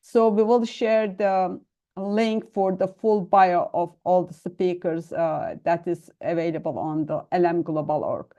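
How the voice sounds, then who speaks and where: A woman's voice: fairly low pitch, measured pace, dark tone. A woman moderating a webinar, formally reading out a speaker's bio and introducing him. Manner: formal, reads the bio aloud.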